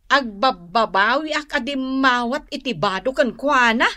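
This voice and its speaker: A woman's voice: sits high, expressive, unhurried, bright, forceful tone, exaggerated voice. A woman playing a touchy, quarrelsome village wife in a comedic Ilocano radio drama, exclaiming and complaining.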